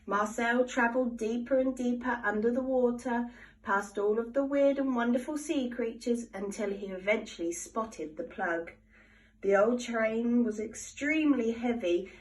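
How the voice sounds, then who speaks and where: A woman reading a children's picture book aloud in an expressive, animated storytelling manner. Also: on the high side, distant mic, low-quality recording. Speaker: storybook reader.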